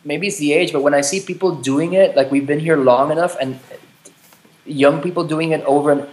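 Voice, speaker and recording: A man's voice: on the high side, fairly quick, darkish tone. A man in his late thirties in a candid conversation, speaking thoughtfully with frequent restarts and casual swearing. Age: 30s. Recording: roomy echo.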